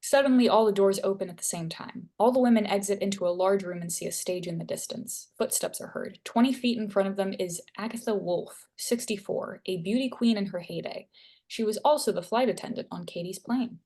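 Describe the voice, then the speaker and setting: A woman's voice: mid-range voice, a little fast, warm tone. A woman with a mid-range voice reads stage directions aloud. Her delivery is scripted narration, setting scenes at a screenplay table read.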